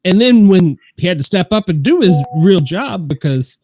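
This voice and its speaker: A man hosting a podcast, telling stories in an animated, casual way. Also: high voice, moderate pace, no echo, faint music behind. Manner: animated storyteller, laughs while telling. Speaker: podcast host.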